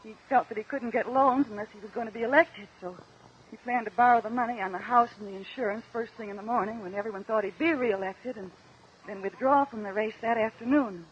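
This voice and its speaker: An American woman playing a deferential, halting widow giving testimony in a mid-century radio drama. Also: a little high, a little hiss or hum, lightly acted. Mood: earnest. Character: polite, hesitant widowed wife testifying on the witness stand. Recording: dull, lo-fi old radio recording.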